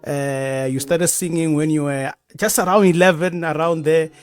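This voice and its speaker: A man with a Venda accent hosting a radio show, asking halting questions and mixing English with Venda. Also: fairly high pitch, steady tone. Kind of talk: radio interview. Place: radio studio.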